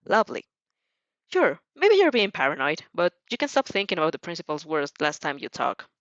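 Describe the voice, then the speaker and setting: A woman reading fan fiction aloud as an expressive, theatrical storyteller who now and then stumbles over words. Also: lowish voice, a little fast, no echo, muffled recording. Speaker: fan fiction reader and host. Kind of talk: expressive storybook narration with character voices.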